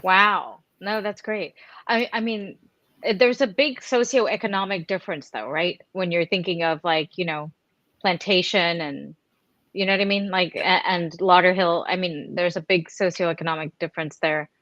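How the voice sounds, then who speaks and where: A woman co-hosts a local talk show, asking loose, chatty questions. Her voice is bright and sharp. The recording is dry and a little dull. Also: fairly low pitch, normal speed, dry recording. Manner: chatty, laughs often, asks loosely. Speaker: co-host. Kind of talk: casual interview talk.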